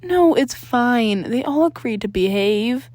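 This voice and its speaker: A woman's voice: on the high side, expressive, measured pace, a little mellow. A woman voicing an awkward, defensive teenage boy hero in fan fiction, hesitant and protesting. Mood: insistent. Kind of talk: acted fan fiction dialogue.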